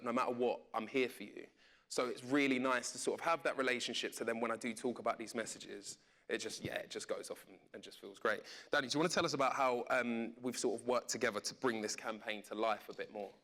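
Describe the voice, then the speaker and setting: A man's voice: mid-range voice, steady tone, quick pace, bright, forceful tone. A man hosting a live panel casually, in an informal, jokey, slangy way. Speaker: panel host, radio presenter. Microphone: event stage microphone.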